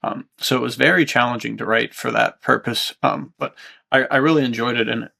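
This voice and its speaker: A man, an author on a podcast, talking casually in an upbeat, informal, excitable way. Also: mid-range voice, a little fast, creaky. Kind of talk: casual chat.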